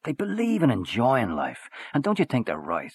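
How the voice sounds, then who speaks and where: A man voicing a boastful, worldly man who talks in a loud, hearty, swaggering way. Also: mid-range voice, wildly swooping pitch, normal speed, slightly rough, voice pushed up, heavily acted. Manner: expansive, swaggering, back-slapping.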